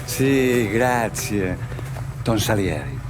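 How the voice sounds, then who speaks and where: A male actor voicing an excitable immigrant Italian safecracker with an Italian accent in a video game. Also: lowish voice, slow pace, music in the background. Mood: obliging.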